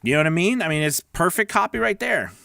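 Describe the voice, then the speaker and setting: A man's voice: sits high, expressive, fast talker, creaky, bright, forceful tone, dry recording. Casual male voice-over coach, dry close sound. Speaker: voice-over coach and show host. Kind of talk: casual tutorial talk with demo script reads.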